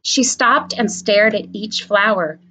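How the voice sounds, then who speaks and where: A woman elementary school teacher reading a picture book aloud to her students, expressive and animated. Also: medium pitch, slow, slight background noise, low-quality recording.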